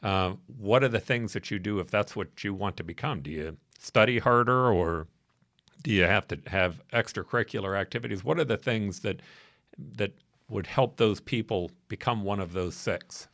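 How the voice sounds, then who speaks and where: An American man in his sixties, interviewing as a podcast host in a measured, conversational way. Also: lowish voice, a bit bright, dry recording, studio quality. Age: 60s. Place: small wood-panelled podcast studio. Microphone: close boom-arm studio microphone.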